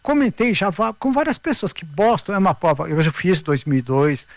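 High, gravelly Brazilian man telling stories. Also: normal speed. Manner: animated, acts out quoted voices. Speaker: marathon runner guest. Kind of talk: casual storytelling interview.

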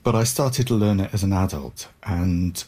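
A middle-aged man from Wales with a very low, husky voice. He tells stories thoughtfully, with small restarts and hesitations, as a guest being interviewed. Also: normal speed, mellow. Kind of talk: reflective interview answers.

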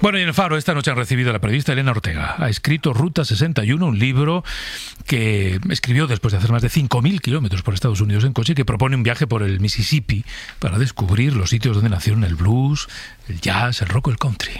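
A man's voice: mid-range voice, lively intonation, fast, slightly rough, dry recording. A man with an accent from Spain hosting a radio news programme, moving between presenting and casual banter in a studio.

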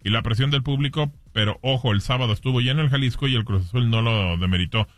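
Easygoing talk show host. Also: male voice, mid-range voice, fast, dry recording. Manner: easygoing, conciliatory. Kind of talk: casual sports chat.

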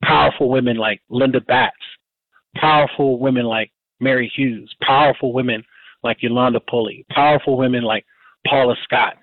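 A man's voice: medium pitch, fairly even intonation, moderate pace, dry recording. Male radio host, 50s, rambling casual delivery, tinny dry phone-line sound. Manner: rambling, frequent fillers and restarts. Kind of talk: casual community radio talk. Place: Baltimore, Maryland. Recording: dry, phone-like narrow band.

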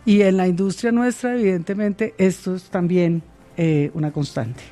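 Woman, interview guest, warm dark tone, faint music behind. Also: lowish voice, steady tone. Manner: measured, hesitant with fillers and repeated words. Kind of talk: thoughtful interview answers.

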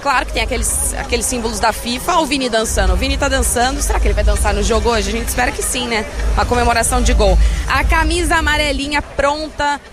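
A female reporter gives a live running commentary as the team arrives at the stadium. Her voice sits fairly high and she rattles off names as people pass. Music plays clearly behind her. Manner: descriptive running commentary, quick lists of names. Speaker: field reporter. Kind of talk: live sports news reporting.

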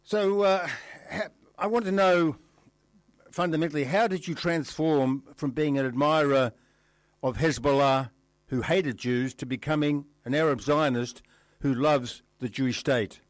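A man hosting an interview, putting formal questions in a measured, slightly halting way as he builds up to each one. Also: on the high side, steady tone, no echo. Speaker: interviewer, host.

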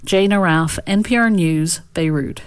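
Female correspondent, serious steady report, lowish voice. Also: a little slow, clean tone, dry recording. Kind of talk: news report.

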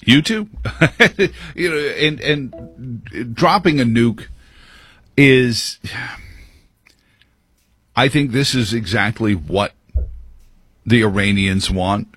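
Opinionated radio host guy rambling on, husky voice, real dry sound. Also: medium pitch, lively intonation, extremely slow, pauses often, fairly bright tone, no room sound. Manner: rambling, many fillers and restarts. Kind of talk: opinionated talk radio monologue.

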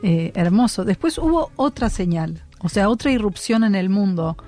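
A woman with a Rioplatense accent hosting a casual interview, chatty and quick to finish her guest's thoughts. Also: mid-range voice, lively intonation, darkish tone, soft background music. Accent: Rioplatense Spanish. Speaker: interviewer host.

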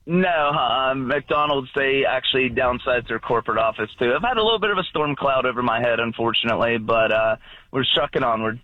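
A man's voice: mid-range voice, brisk, no echo. A man calling in to a radio show, chatting casually in an easygoing, slightly hesitant way. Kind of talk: casual chat. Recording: dull lo-fi dry call-in line.

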